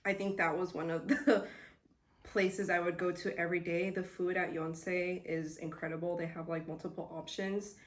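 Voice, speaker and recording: A young woman with a somewhat low voice talks gently and pauses on fillers as she reflects. Her delivery is unhurried and sincere. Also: little pitch movement. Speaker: summer school student. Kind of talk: casual testimonial.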